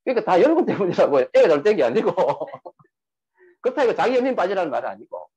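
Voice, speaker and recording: Lively husky man lecturing. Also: sits high, fast. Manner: lively, rhetorical questions, acts out quoted dialogue. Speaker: speaker giving a talk on sales and emotions. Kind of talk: lecture to an audience. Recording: dull lo-fi recording.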